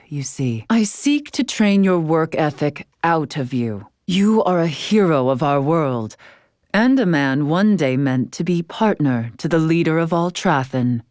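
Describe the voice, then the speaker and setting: A woman's voice: sits low, a little mellow, no echo, clean studio sound. An actress playing a composed, regal woman who leads a tribe in a video game, teasing at times, with formal, archaic phrasing. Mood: coaxing, teasing. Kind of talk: scripted video game voice acting.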